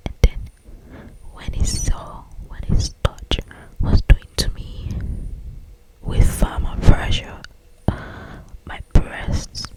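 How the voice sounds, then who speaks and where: An ASMR creator reading a romance novel aloud in a breathy whisper, with lingering phrasing and occasional asides. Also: low-quality recording. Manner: slow, lingering phrasing with repeated words and asides.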